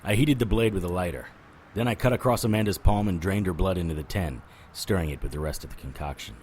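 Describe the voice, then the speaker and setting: A man's voice: low voice, quick pace, darkish tone, slight background noise, lightly acted. An actor playing a wry, world-weary occult detective who narrates his own story in a noir-style audio drama. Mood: grim narration. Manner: wisecracking, sardonic. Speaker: lead actor and first-person narrator.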